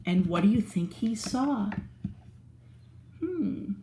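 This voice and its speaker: A woman reading a picture book aloud to young children, animated and asking them questions. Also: mid-range voice, slow, slight background noise. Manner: animated, asks the listener questions. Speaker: children's storyteller. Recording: roomy echo.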